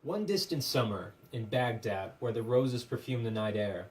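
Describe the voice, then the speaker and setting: A man narrating a puppet show as dramatic storytelling, in a measured, theatrical, literary manner. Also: mid-range voice, lively intonation, soft background music. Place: live puppet show performance, room. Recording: distant microphone with faint room noise.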